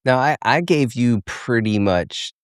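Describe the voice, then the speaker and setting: A man's voice: mid-range voice, measured pace, clear, resonant tone, dry recording, studio quality. A man hosting a podcast, asking questions in a casual, conversational interview style. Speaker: podcast host.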